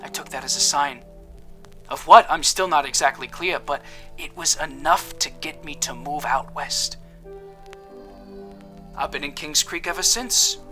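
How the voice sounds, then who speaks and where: An actor playing a theatrical, grandiose quack doctor who lectures with old-fashioned airs in an audio drama. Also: male voice, fairly high pitch, unhurried, long pauses, pushed and bright, soft background music. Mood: dramatic reminiscing. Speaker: shopkeeper healer.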